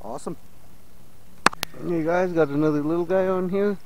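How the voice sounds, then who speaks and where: Male fishing vlogger, slightly higher voice, chatty and excitable, recorded outdoors on a lake on a small camera. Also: normal speed, dull audio, a little hiss or hum. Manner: excitable, talks to viewers as "you guys". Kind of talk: casual on-water fishing commentary.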